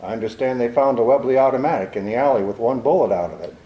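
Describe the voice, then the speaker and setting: A man's voice: mid-range voice, fast talker, lo-fi sound, lightly acted. An actor playing a cynical, wisecracking male private detective in a 1940s radio drama, with a dry, sardonic manner. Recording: roomy 1940s radio broadcast.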